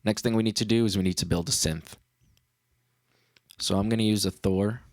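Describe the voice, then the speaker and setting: A man's voice: fairly low pitch, steady tone, normal speed, darkish tone, dry recording. A man hosting a casual livestream tutorial as a music producer, talking in a laid-back, slangy way into a studio microphone.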